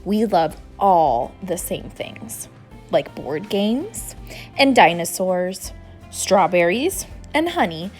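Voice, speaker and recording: A woman reading a children's storybook aloud in an expressive, deliberate way, acting out the dialogue. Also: fairly low pitch, faint music behind. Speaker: storybook reader.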